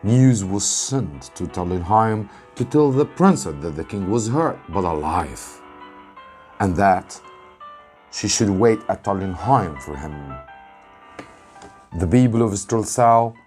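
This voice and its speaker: Slow, low-voiced man reading a story. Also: lively intonation, a little mellow, faint music behind. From Egypt. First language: Arabic. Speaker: English teacher reading aloud. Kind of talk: narrated storybook reading for students.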